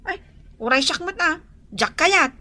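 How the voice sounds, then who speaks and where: A woman playing a bossy, teasing younger sister in an Ilocano radio comedy drama, with exaggerated, drawn-out delivery. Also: extremely high pitch, expressive, bright, forceful tone, no echo, full character voice.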